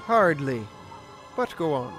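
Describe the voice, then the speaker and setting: A man acts out a menacing, pious gunslinger in a western radio play. His taunting threats come in a high, very lively voice. Loud music plays behind him. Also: slow pace, heavily acted. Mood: cold, taunting. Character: mean, menacing, pious male outlaw gunslinger. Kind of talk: western audio drama acting.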